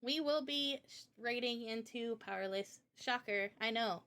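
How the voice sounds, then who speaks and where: A woman with a fairly high voice talks casually as a livestreamer. Her delivery is chatty and informal, full of everyday slang. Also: a little slow, fairly bright tone, clean tone. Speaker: live streamer and indie game developer.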